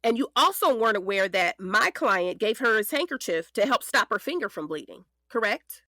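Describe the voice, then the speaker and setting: Bright, animated female defense attorney. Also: a little high, normal speed, no room sound, studio quality, exaggerated voice. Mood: controlled, probing. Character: sharp, determined female defense attorney, sarcastic yet caring. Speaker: actor in audio drama. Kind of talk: audio drama acting.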